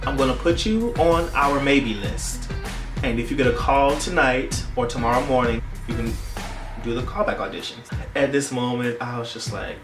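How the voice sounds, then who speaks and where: A man's voice: mid-range voice, moderate pace. Echoey, casual young American man storytelling. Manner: animated storytelling, acting out quoted dialogue. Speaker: vlogger. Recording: roomy echo, distant mic, faint music.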